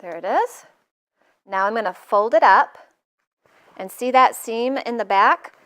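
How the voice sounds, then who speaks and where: A woman's voice: a little high, measured pace, a bit bright. A middle-aged woman hosting a live sewing tutorial in her studio, chatting casually and joking at her own expense as she works. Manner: chatty, self-deprecating, jokey asides.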